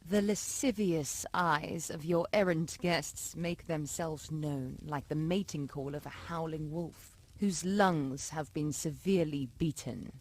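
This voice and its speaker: Game heroine: aristocratic ancient vampire lady, lowish pitch, ornate and poised. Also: takes it slowly, hardly any pauses, darkish tone. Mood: arch, disdainful. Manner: formal, ornate phrasing. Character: haughty ancient vampire noblewoman, formal and poised. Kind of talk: video game voice acting.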